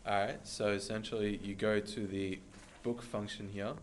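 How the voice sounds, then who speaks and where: Flat, low-voiced student explaining calmly. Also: male voice, moderate pace, slight background noise. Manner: offhand, plain explaining with fillers. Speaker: student demonstrating calculator. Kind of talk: classroom demonstration. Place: classroom.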